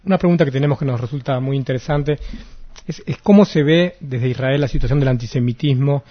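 A man interviews a guest in a conversational style. His voice sits at an average pitch, and the recording is somewhat dull and lo-fi. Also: fairly quick. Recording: dull, lo-fi muffled sound.

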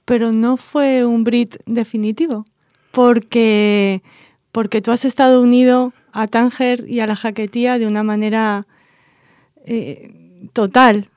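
A woman with a rather high voice, hosting a radio interview. She speaks conversationally, and the studio sound is dry. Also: fairly even intonation, measured pace, a little mellow, dry recording.

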